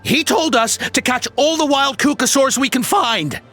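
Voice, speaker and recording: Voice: sits high, moderate pace, a bit bright, faint music behind. An actor voicing a cowardly hired poacher in a video game, nervous and stammering. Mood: shaken. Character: cowardly, greedy hired poacher, blustering then panicky.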